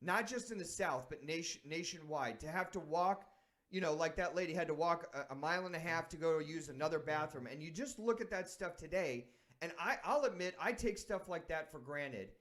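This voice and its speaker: A man's voice: a little high, animated, a little fast, fairly bright tone. A man from San Diego, hosting a sports talk show and reflecting earnestly in a casual way. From San Diego, United States. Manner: earnest, thinking aloud with restarts.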